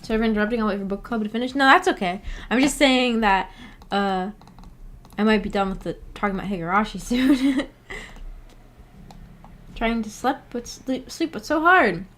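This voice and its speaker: A woman's voice: on the high side, moderate pace, pauses often, fairly bright tone. A woman livestreamer chatting casually with her viewers, in a rambling, hesitant, giggly manner. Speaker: livestreamer, book club host. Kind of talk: casual livestream chat, reading and answering viewer comments.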